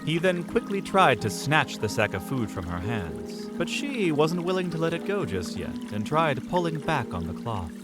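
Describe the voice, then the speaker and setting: A man's voice: on the high side, big pitch swings, moderate pace, few pauses. A man narrating a fantasy audio drama in a scripted, dramatic storytelling style. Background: music under the voice.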